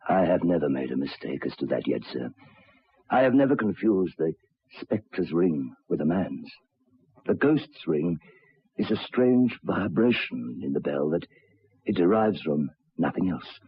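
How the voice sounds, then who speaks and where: A troubled railway signalman in a radio play. The voice sits low and is heavily husky, with grave, deliberate, halting phrasing. The recording is dull and lo-fi, like an old broadcast. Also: big pitch swings, heavily acted. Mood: earnest, insistent. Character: haunted, troubled railway signalman telling of ghosts. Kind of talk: old radio drama acting.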